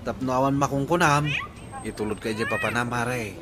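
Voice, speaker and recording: A man's voice: mid-range voice, moderate pace, crowd noise, natural voice. A man playing a hapless, whiny tricycle-driving husband in Ilocano-accented radio comedy drama. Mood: grumbling.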